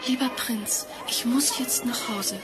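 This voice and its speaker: Wistful young fairy-tale heroine: gentle, high, unhurried, declaimed radio-play delivery. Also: female voice. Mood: soft, polite. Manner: dreamy, declaimed. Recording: vinyl record transfer with clear music.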